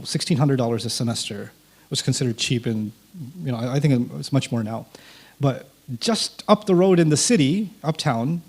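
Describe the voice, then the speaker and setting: A man's voice: mid-range voice, slightly rough. Man telling a story, Urdu native. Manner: animated, acts out quoted dialogue. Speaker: interview guest. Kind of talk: personal storytelling in an interview.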